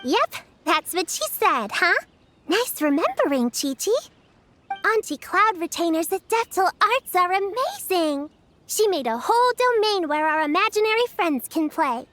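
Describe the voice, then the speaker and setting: A woman's voice: extremely high pitch, sing-song, a little slow, slight background noise, full character voice. A sweet, motherly little girl. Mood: cheerful. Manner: sweet, earnest, looks after her friends. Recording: game audio with soft music underneath.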